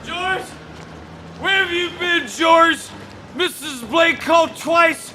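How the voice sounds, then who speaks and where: An actor playing a drunken, grieving older druggist who shouts at a boy in a radio play. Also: very high-pitched, slow, background noise, voice pushed up. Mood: furious. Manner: shouting, abusive then remorseful.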